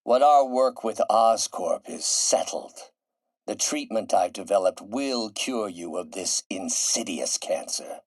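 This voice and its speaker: An actor voicing a grandiose, middle-aged scientist turning villain in a video game, theatrical and erudite, with precise enunciation. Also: male voice, fairly low pitch, expressive, slow, no echo, heavily acted. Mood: smooth persuasion. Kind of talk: video game voice acting, dramatic monologue.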